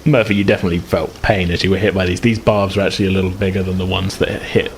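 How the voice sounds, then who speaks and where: A man narrating as game master of a tabletop game, relaxed and hesitant, with many fillers. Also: low voice, fast talker, a little hiss or hum.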